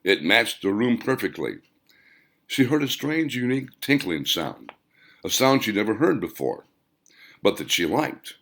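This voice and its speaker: A man narrates a novel in a low-pitched voice with a gravelly creak. His reading is scripted storytelling, and his pitch moves up and down in a lively way across each phrase. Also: a little slow. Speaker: author reading own novel as audiobook narrator.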